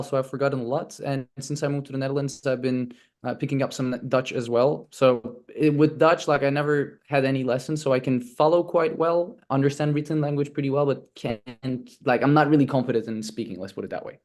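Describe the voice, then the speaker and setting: A man's voice: medium pitch, darkish tone. A man from Turkey, a native Turkish speaker, talking casually as an interview guest.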